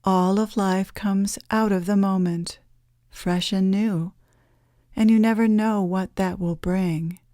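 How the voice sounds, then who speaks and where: Slow, mellow woman guiding meditation. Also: medium pitch, fairly even intonation, no echo, clean studio sound. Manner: gentle, unhurried, soothing, with long pauses. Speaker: guided meditation leader, channeler.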